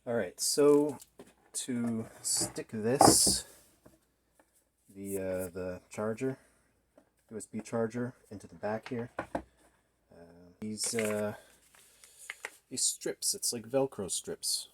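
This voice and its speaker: A man's voice: lowish voice, slow pace, pauses often. A middle-aged man narrating casually as he works, thinking aloud in a tentative way in a home workshop. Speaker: DIY maker demonstrating a build. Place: small indoor home workshop. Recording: clearly noisy workshop recording with handling clicks.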